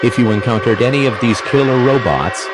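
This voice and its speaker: A man's voice: medium pitch, a little fast, loud music behind the voice, natural voice. A man playing a grave emergency alert announcer, reading scripted official warnings in a formal, stiff way. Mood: grave, urgent.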